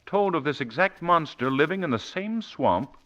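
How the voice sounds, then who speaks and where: An actor playing a suave, curious gentleman sleuth in a 1940s radio drama, polite and quietly persistent. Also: a little high, expressive. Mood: calmly probing. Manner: polite, measured, probing. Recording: muffled old 1940s radio recording.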